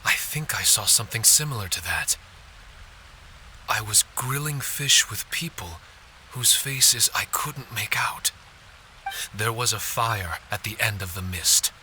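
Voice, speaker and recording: A man voicing a gentle, polite spirit warrior in a video game, earnest and a little hesitant. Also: on the low side, a little slow, slight background noise, poor audio. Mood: reflective.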